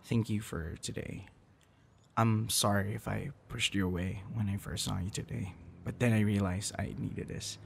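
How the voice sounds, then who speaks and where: A man playing a withdrawn, tender young man in an intimate boyfriend roleplay, speaking softly and haltingly. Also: lowish voice, steady tone, creaky, a little hiss or hum. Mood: grateful, earnest. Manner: hesitant, halting, soft-spoken. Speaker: audio roleplay performer.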